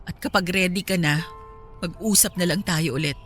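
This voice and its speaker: An actress with a Filipino accent playing a hurt, guarded wife in a radio drama. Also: female voice, lowish voice, moderate pace, a bit bright, natural voice. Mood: guarded, wistful. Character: hurt pregnant wife, firm and guarded with her cheating husband. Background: music.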